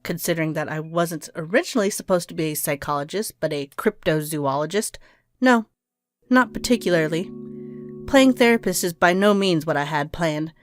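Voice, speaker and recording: A woman's voice: lowish voice, natural voice. An actor playing a cold, impatient woman doctor in an audio drama, curt, clinical and scheming. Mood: impatient, commanding.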